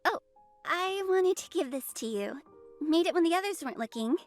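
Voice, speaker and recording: A woman playing a sweet, innocent little girl in a game, soft and earnest in a childlike way. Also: very high-pitched, animated, moderate pace, soft background music. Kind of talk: visual novel voice acting.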